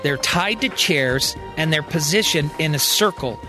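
A man's voice: fairly high pitch, lively intonation, a little slow. A man narrating a serial science-fiction audio drama as its storyteller. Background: music.

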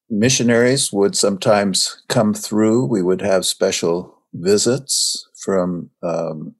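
An older man, a guest in an interview, recalling his early life in slow, reflective storytelling with many hesitations. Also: deep voice, breathy edge. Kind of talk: reminiscing in conversation.

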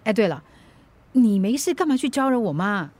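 Radio drama ex-wife: independent middle-aged woman, higher-pitched, chiding and firm yet caring. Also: big pitch swings, normal speed, a little mellow. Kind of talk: Chinese radio drama acting.

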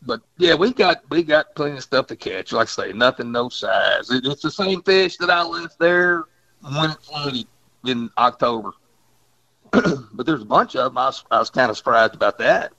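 A man, a fishing guide calling into a radio show, who talks in a rambling, drawling way and keeps clearing his throat. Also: medium pitch, expressive, moderate pace, bright, forceful tone. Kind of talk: casual phone chat. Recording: dull phone-line sound.

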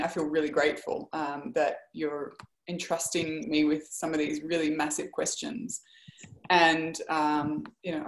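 A woman's voice: sits low, slight background noise. Effusive woman hosting a roomy video call. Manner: effusive, chatty, thinking aloud. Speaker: host of a community video call. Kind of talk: casual group video chat.

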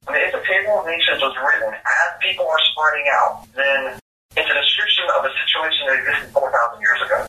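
A man lectures as a guest expert, eager and explanatory. His voice is sharply bright and forceful. He sounds a little distant, caught in an echoey room. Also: on the high side, fast talker. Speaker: guest scientist. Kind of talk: explanatory interview answers.

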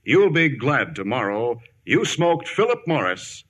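A man's voice: medium pitch, very animated, a little slow, fairly bright tone. A man announcing a 1950s radio commercial in an emphatic, formal sales style. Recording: vintage 1950s radio broadcast.

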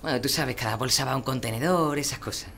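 An actor with an Andalusian accent playing an eager young student in a radio drama, slangy and stammering with admiration. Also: male voice, medium pitch, fast talker, fairly bright tone, slight background noise, natural voice. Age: young adult.

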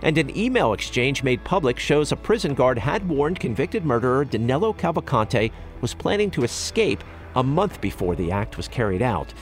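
American newsman with lively, swinging intonation. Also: medium pitch, moderate pace, faint music behind. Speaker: radio news host. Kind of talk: scripted news narration.

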